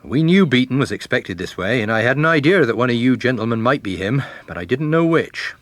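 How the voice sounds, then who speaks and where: A man playing a brisk, polite police sergeant. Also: medium pitch, sing-song, no echo, pitched above the performer's own voice, natural voice. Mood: relieved, approving.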